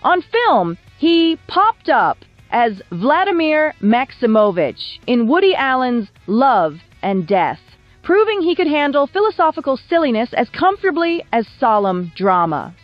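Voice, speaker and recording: A woman narrating a scripted biographical documentary in a measured, polished storytelling manner with wry touches. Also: high voice, sing-song, muffled recording. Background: background music.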